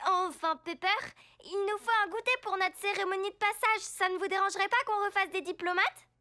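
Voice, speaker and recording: A woman voicing an earnest, encouraging young girl superhero who leads her team in an animated cartoon. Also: very high-pitched, brisk. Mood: eager, sincere.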